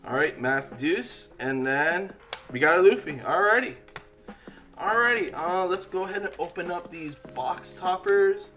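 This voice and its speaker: Young guy w a stutter opening card packs, says um and uh a lot, voice a bit high, music playing clearly behind him. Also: expressive, unhurried, sharp tone. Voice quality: has a stutter, uses um and uh as fillers. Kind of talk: casual chat while opening card packs.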